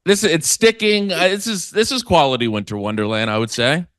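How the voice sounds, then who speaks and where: A man's voice: a little high, fairly quick, a bit bright, no room sound, clean studio sound. A man co-hosting a comedy podcast, wry and mock-indignant as he jokes with his friend. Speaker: podcast co-host. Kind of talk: casual comedic banter.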